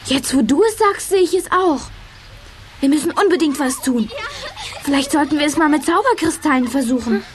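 An actress playing a cheerful, eager, energetic young girl in a children's radio play. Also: sits high, normal speed, slight background noise, close to an everyday voice. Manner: bright-spirited, eager.

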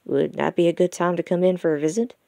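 Woman voicing a low-voiced, dutiful wolf son. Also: fairly even intonation, fast talker, gravelly, warm tone, no room sound, studio quality. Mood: flustered. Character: adult male wolf architect, dutiful, mildly exasperated son. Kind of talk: audiobook character dialogue.

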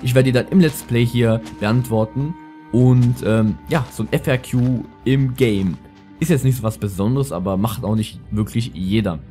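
Lisping young man, mellow gameplay commentary. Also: medium pitch, moderate pace. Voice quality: lisp. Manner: rambling, slangy. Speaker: let's player, host. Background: music.

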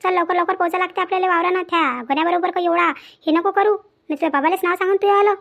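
Woman acting very high-pitched bossy Vidarbha village auntie. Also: steady tone, quick pace, gravelly, a bit bright, heavily acted. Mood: stern, suspicious. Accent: Vidarbha Marathi. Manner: nagging, commanding, repeats orders. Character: bossy scolding middle-aged village auntie. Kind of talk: comedy cartoon dialogue.

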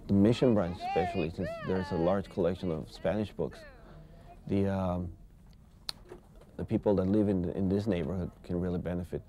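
A man's voice: deep voice, steady tone, normal speed, background noise. A man in his forties, a parent, speaking informally in an interview testimonial. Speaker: parent and library patron interviewee. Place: indoors in a library.

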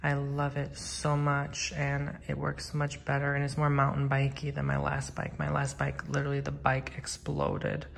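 A chatty man in his thirties rambles through a casual home vlog, leaning on "like" as he goes. His voice has a gravelly, fry-tinged edge. It is recorded on a handheld phone with a clear layer of background noise underneath. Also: medium pitch, completely flat delivery, moderate pace, few pauses. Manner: chatty, rambling, heavy use of "like". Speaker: vlogger. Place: home, indoors. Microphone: handheld phone camera.

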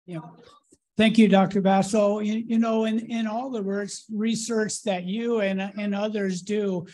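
A man moderating a conference panel, speaking with many halting restarts and repeated words. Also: high voice, little pitch movement, warm tone.

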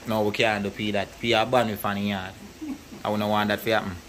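A man's voice: on the low side, flat delivery, quick pace, noisy background. A Jamaican man hosting a casual outdoor food vlog in a rural yard, laughing often and mixing in patois. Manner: laid-back, laughs often, patois slang. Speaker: vlogger host.

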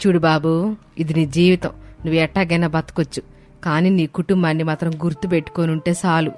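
A woman voicing a scolding, possessive middle-aged Telugu mother-in-law in an animated moral story. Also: low voice, brisk, faint music behind, lightly acted. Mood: stern scolding. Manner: scolding, complaining, sarcastic.

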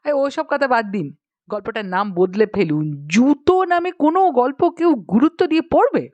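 গল্পপাঠে একজন নারী একজন মাতব্বর, বকাবকি করা অনুগত পুরুষ চেলার চরিত্রে কথা বলছেন।. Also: female voice, a little high, animated, moderate pace, darkish tone, dry recording, lo-fi sound, close to an everyday voice. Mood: impatient, bossy. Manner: scolding, insistent. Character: brash bossy male hanger-on, scolding a writer.

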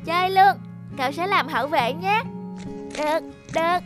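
A high-voiced cartoon schoolchild, friendly and confident. Clear background music runs under the lines. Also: female voice, moderate pace.

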